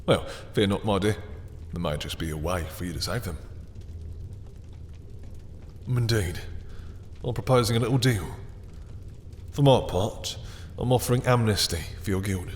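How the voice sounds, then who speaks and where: Man playing a low-voiced, silky, mocking male vampire. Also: moderate pace, big-room sound, slight background noise, lightly acted. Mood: bargaining. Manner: silky, mocking, theatrically courteous, lingering over words. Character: dominant, obsessive male vampire taunting a captured hunter. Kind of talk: intimate roleplay audio drama monologue.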